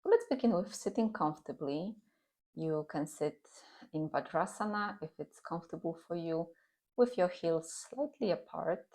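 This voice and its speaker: Low-pitched, creaky-voiced woman guiding meditation. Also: normal speed, slight background noise. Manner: calm, gentle, soothing instruction. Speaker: yoga and meditation teacher. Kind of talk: guided meditation and gentle yoga instruction.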